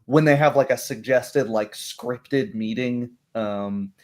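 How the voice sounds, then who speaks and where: A man hosting as game master in a relaxed post-game chat. He has a mid-pitched voice and reflects out loud, feeling his way through each thought. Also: slow. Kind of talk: casual post-game discussion.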